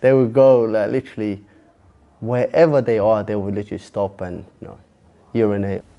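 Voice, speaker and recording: A man in his twenties from London, an English teacher, talking in a chatty, animated way during an interview. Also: on the low side, fairly quick, gravelly, highs cut off. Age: 20s. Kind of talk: casual interview answers.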